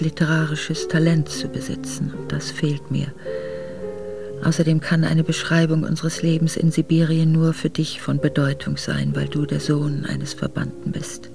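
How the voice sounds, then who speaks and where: An actress playing an aristocratic middle-aged Russian woman quietly recounting her memoirs to her son in a radio drama. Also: sits low, monotone, moderate pace, gravelly, over music. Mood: intimate, reflective. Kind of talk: intimate radio drama narration.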